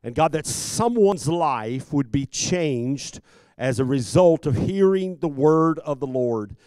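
A man in his fifties preaching a sermon as a pastor, speaking emphatically into a handheld microphone with deliberate repetition. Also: fairly high pitch, expressive, warm tone, dry recording. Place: church sanctuary stage at a pulpit. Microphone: handheld microphone held close.

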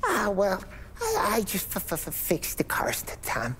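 A stammering, jittery mechanic. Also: male voice, high voice, huge pitch swings, a little slow, a bit bright, slight background noise, exaggerated voice. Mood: nervous. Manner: stutters, nervous. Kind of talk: video game cutscene acting.

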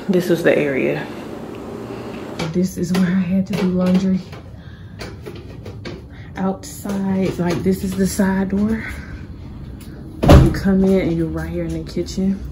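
A woman's voice: on the low side, noisy background. Chatty woman touring an empty house. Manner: chatty, relieved, addresses viewers as guys and y'all. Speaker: vlogger. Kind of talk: casual house tour vlog. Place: empty house, rooms and basement. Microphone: handheld phone camera.

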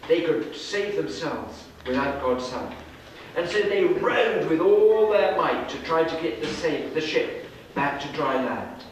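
A middle-aged man reading a story aloud to children in a dramatic, deliberate way, with pauses. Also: a little high. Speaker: storyteller narrating a children's puppet show. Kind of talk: animated read-aloud Bible story narration. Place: indoor children's classroom, seated before small children. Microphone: distant camera microphone. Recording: echoey, noisy room, recorded from far off.

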